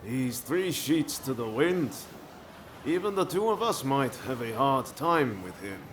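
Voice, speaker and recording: A man voicing a principled, easygoing samurai comrade in a video game, measured and conversational, with a weary streak. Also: mid-range voice, big pitch swings, noisy background. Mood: wry. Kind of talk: video game voice acting, English dub.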